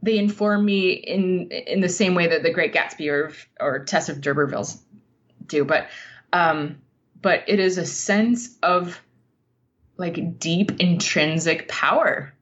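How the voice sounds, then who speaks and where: An American woman with a slightly low voice talks slowly and thoughtfully as a podcast guest. She pauses to search for words in a reflective conversation. The recording is a little dull and lo-fi. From Utah, United States. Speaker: podcast guest, nonfiction writer.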